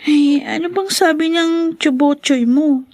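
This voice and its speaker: A woman's voice: sits high, a little slow, darkish tone. A woman playing a caring, chatty young schoolgirl in a Filipino radio drama, with a Filipino accent. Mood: comforting, concerned. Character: caring young schoolgirl, protective best friend.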